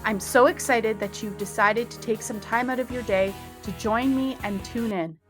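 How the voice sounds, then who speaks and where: A woman's voice: fairly high pitch, normal speed, music in the background. A woman hosting a podcast, upbeat and encouraging as she talks with a guest. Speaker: podcast host. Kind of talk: podcast interview.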